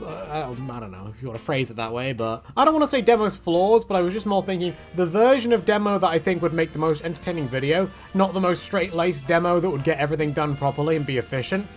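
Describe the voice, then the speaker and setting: A man giving a casual, rambling video commentary in a chatty way, often correcting himself and wandering off on tangents. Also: fairly high pitch. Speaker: gaming video creator.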